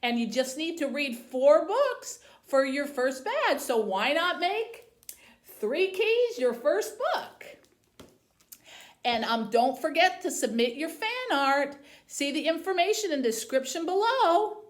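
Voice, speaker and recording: A woman with a high voice works as a library host for young viewers. Her pitch moves up and down in an expressive storytelling style. The room adds noticeable echo around her words. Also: slow pace. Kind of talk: reading a children's novel aloud, then addressing young viewers. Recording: roomy, echoey indoor sound.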